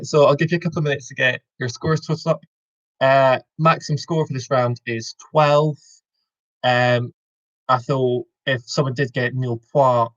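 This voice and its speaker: A young Scottish man hosting a quiz over a video call, often stopping mid-sentence to correct himself and throwing in jokes. His voice sits at an everyday middle pitch, and the audio sounds dull and slightly lo-fi. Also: a bit bright. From Scotland. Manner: rambling, self-correcting, jokey. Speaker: quiz host. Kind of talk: casual online quiz hosting.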